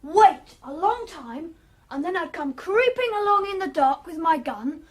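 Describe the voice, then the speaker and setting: A woman playing a morbid, excitable, childlike young man in a radio play, eager and naive with a giggly manner. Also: extremely high pitch, measured pace, heavily acted. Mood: boastful, excitable.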